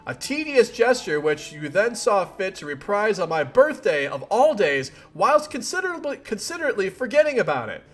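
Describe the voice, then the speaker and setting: Furious, high-pitched young woman played by a man. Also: sing-song, moderate pace, hardly any pauses, heavily acted. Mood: bitter scolding. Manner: shouting, biting, emphatic. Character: furious, exasperated young woman, sick of her friend.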